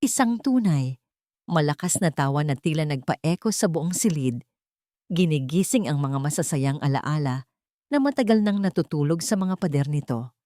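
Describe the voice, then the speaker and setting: A woman with a Filipino accent giving a measured, expressive narration of a scripted romantic audio drama. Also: deep voice, no room sound, clean studio sound.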